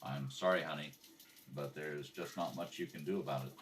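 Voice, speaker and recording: Very low male detective played by a man, radio crime drama, deadpan, calm, patient; off-mic home recording. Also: moderate pace. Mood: gently firm. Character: calm, matter-of-fact male police detective, patient with children. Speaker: police detective in a radio play rehearsal. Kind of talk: 1950s radio detective drama, clipped narration and dialogue. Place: kitchen at home. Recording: homemade, distant mic with background noise.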